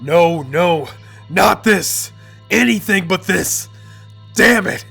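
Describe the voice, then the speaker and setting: Male reader doing a panicked man reliving a drowning; frantic, bright and strained, pitched a little up. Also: animated, slow, vocal fry, husky, faint music behind, exaggerated voice. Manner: frantic, pleading.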